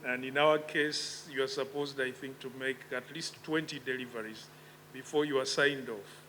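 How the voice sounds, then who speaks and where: A man, giving a measured, reflective conference speech with emphatic rises in his voice. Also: mid-range voice, fairly even intonation, bright, forceful tone, slight background noise, low-quality recording. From Uganda. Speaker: conference speaker. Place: conference hall.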